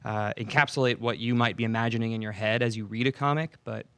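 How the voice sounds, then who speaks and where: Voice actor guy in an interview, gravelly, even pitch, casual. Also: quick pace, no echo.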